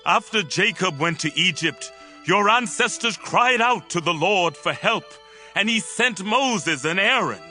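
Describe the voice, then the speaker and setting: A man's voice: sits high, lively intonation, sharp tone, exaggerated voice. A man playing a stern, solemn prophet admonishing his people in a dramatized scripture reading. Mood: stern, reproachful. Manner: slow, grave, exhorting. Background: music.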